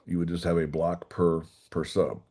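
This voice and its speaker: A man giving an informal technical class, walking through a software demonstration step by step in a folksy way. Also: low-pitched, flat delivery, moderate pace. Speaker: class instructor.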